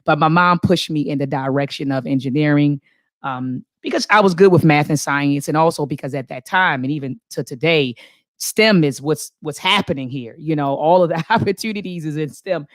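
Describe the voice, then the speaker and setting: A woman's voice: low voice, moderate pace, dry recording. Earnest woman in her forties, dry sound. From Baltimore, USA. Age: 40s. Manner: earnest, lively, frequent fillers and restarts. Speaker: guest, engineering professor. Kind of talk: interview answers, storytelling.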